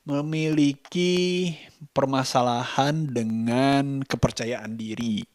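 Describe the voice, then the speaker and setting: Male vlogger, mid-pitched and slow, reflective and hesitant delivery, casual monologue, dry sound. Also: darkish tone, no room sound. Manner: hesitant, halting, searching for words with repeated phrases. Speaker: vlogger and host.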